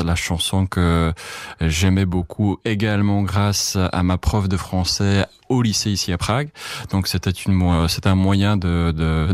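Low-voiced hesitant man, non-native speaker. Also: darkish tone, no room sound, clean studio sound. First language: Czech. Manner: hesitant, many restarts and fillers. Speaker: radio journalist. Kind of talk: casual interview answers.

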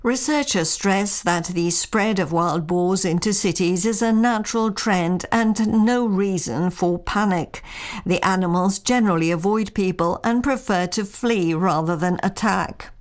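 A woman's voice: medium pitch, a little slow. Woman reading a radio news report. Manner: steady, clear reading.

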